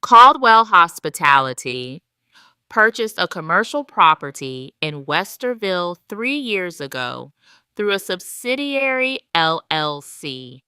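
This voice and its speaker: Woman doing steely calm accountant mom in audio drama, pointed, slow n super clear. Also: on the low side, no room sound, studio quality, exaggerated voice. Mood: coolly confrontational. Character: composed, steely retired accountant mother confronting her in-laws.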